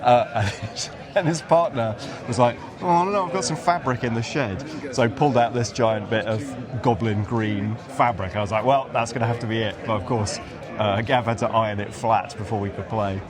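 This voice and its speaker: A man's voice: lowish voice, normal speed. A man telling anecdotes casually and with animation as an interview guest. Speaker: interview guest, game designer. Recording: busy event crowd behind.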